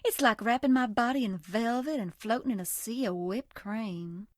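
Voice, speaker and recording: Woman acting a sugary, high-voiced Southern belle. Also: normal speed, no echo, studio quality, lightly acted. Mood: tender, flirty. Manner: coy, sugary, folksy. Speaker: voice actress. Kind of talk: video game character voice lines.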